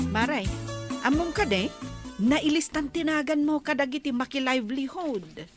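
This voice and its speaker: A woman's voice: high-pitched, expressive, moderate pace, music in the background. A woman acting as a chatty, eager village friend in a comedy radio drama, gossipy and urging, with an Ilocano accent.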